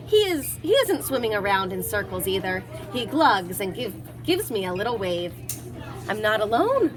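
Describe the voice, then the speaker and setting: A woman narrating a children's picture book in an expressive, animated read-aloud manner, acting out dialogue and sounds. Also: fairly high pitch.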